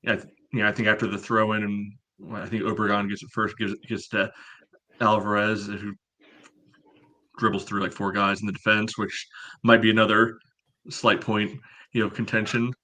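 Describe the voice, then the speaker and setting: A low-pitched man with a bright, forceful edge to his voice, analyzing soccer in casual conversation. His intonation stays fairly flat, and he moves haltingly through his points, with "you know" scattered all through. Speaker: soccer podcast panelist. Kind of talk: casual sports analysis chat.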